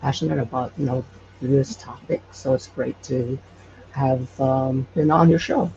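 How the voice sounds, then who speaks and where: A man talking casually as an interview guest, halting as he searches for words. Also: mid-range voice, fairly even intonation, vocal fry. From Hawaii. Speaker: podcast creator guest. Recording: dull lo-fi call, loud noise.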